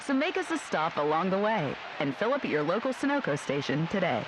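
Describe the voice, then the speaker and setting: Lively female radio ad announcer, noisy backing. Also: fairly low pitch, a little fast. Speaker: commercial announcer. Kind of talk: scripted radio ad read. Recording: radio ad with clear background noise.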